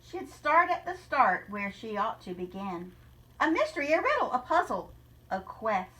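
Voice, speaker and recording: A woman reading a rhyming children's picture book aloud in a deliberate, expressive storytelling manner, like a teacher. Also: a little high, distant mic, poor audio.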